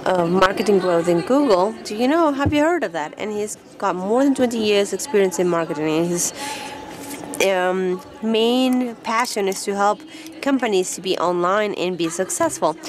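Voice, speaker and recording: A woman presenting at an event, introducing the speaker in a halting way with fillers and restarts. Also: mid-range voice, animated, crowd noise.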